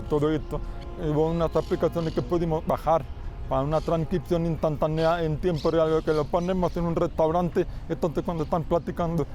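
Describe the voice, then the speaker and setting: A man's voice: a little high, dark tone. A man with a Mexican accent giving an earnest personal testimony outdoors, explaining with slightly uneven phrasing. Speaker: testimony interviewee.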